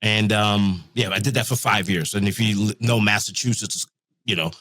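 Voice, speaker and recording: A man's voice: on the low side, steady tone, normal speed, a bit bright, dry recording. A man from England, a guest on a casual podcast, talking in a loose, rambling way with restarts.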